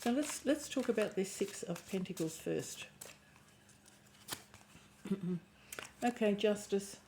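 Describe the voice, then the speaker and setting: A woman with a croaky voice and a lingering cough giving an intuitive tarot reading, thinking aloud and often restarting her phrases. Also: mid-range voice, expressive, slow pace, dark tone, slight background noise. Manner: musing aloud, restarting phrases, clearing throat. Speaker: tarot reader.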